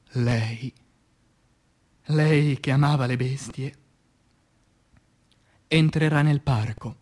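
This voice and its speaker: A man playing an anguished, passionate revolutionary poet in a declamatory radio theatre recitation, with dramatic pauses and swings between hushed and loud delivery. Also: mid-range voice. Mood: tender, wistful. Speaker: stage actor.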